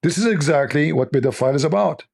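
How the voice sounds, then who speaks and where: A man with a voice worn hoarse, giving scornful, lecturing commentary on religious texts in non-native English. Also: on the high side, animated, fast, a little mellow. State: losing his voice. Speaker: religious debate host. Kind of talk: polemical commentary reading texts.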